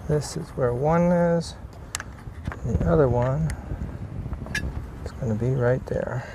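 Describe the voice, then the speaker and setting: A man's voice: medium pitch, slow, background noise. A man narrating a hands-on DIY job casually as he works, thinking aloud in short, plain, unscripted remarks. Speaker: DIY vlogger demonstrating a vehicle repair. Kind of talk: casual hands-on how-to narration while working.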